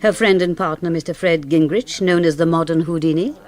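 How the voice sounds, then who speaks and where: An actress playing a wry, precise English spinster forensic surgeon who narrates a radio murder mystery. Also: female voice, fairly low pitch, a little fast, darkish tone, lightly acted. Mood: composed, wry. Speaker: narrator and character in a radio drama. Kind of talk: scripted radio drama narration.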